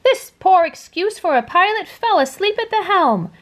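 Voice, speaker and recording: A woman's voice: extremely high pitch, sing-song, normal speed, pitched above the performer's own voice. A woman voicing a bossy, indignant old male grasshopper in a barking, accusatory manner for a storybook.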